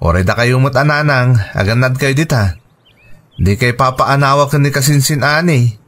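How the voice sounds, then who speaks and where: An actor playing a dutiful, hardworking adult son in an Ilocano radio drama, respectful and reassuring, with a Filipino Ilocano accent. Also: male voice, mid-range voice, normal speed, fairly bright tone, close to an everyday voice. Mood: affectionate.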